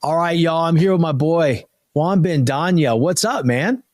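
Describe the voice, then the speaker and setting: A man's voice: on the high side, unhurried, darkish tone. A man hosting a podcast, chatting casually and introducing his guest. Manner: laid-back, conversational, fillers. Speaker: podcast host. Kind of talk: casual interview chat.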